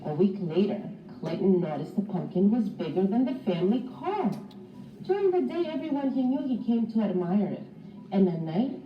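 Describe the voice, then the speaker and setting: Female teacher, animated storybook read-aloud, distant roomy recording, dull sound, music underneath. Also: mid-range voice. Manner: expressive, instructive, addressing young children. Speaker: teacher reading aloud to pupils.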